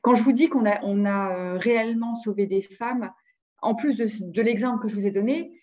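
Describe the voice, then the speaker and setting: A woman's voice: medium pitch, a little fast, a little mellow. A woman giving an informal webinar slide presentation, often hesitating and repeating words as she looks for her phrasing. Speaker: presenter of local health programmes.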